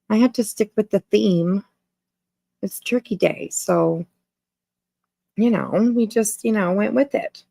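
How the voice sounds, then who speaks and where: A woman's voice: medium pitch, gravelly, warm tone, no echo. A woman hosting a casual livestream, chatting informally to viewers in a rambling way and repeating her phrases. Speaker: livestream host.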